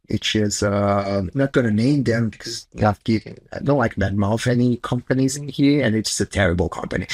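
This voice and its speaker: A man's voice: mid-range voice, no echo. Blunt Brazilian-accented man on a video call. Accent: Brazilian Portuguese. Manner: candid, blunt, loose non-native phrasing. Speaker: chief technology officer guest. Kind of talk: casual conversational interview. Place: small home office, indoors.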